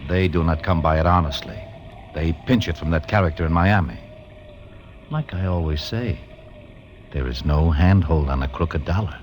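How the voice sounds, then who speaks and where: An actor playing a stubborn small-time crook in a 1940s radio drama, talking in oddly formal gangster slang. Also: male voice, sits low, normal speed, close to an everyday voice. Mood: cool, sly. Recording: noisy vintage radio recording.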